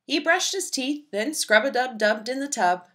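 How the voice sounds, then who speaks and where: A woman reading a children's storybook aloud with expressive, dramatic emphasis. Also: sits high, normal speed. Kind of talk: expressive scripted read-aloud narration for young children.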